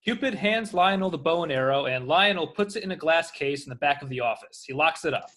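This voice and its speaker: A man narrates screen directions from a script. His voice is bright, fairly high and moves animatedly up and down. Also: expressive, a little fast.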